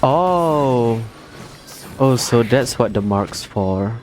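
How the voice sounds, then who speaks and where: A man's voice: medium pitch, lively intonation, drawn-out words, a little mellow, a little hiss or hum. A young man giving casual, slangy, jokey reaction commentary while streaming a video game.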